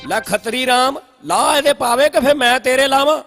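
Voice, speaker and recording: A man's voice: very high-pitched, normal speed, fairly bright tone. A man voicing a bossy, mocking king in a comic cartoon dub, with a Punjabi accent. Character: blustering, mocking king, fond of threats and insults. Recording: music behind the voice. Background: music.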